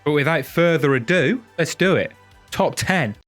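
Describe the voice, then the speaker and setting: A man's voice: a little high, lively intonation, moderate pace, a bit bright, soft background music. A man with a head cold and a nasal voice, chatting casually and self-deprecatingly as host of a gaming video.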